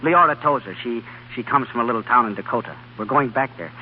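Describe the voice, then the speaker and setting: A man's voice: mid-range voice, fast, lightly acted. Man doing sincere young country doctor in an old radio play, mid voice, dull hissy sound. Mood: earnest, apologetic. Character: earnest young male country doctor, idealistic and self-doubting. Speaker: actor in a radio drama. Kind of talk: old-time radio drama acting.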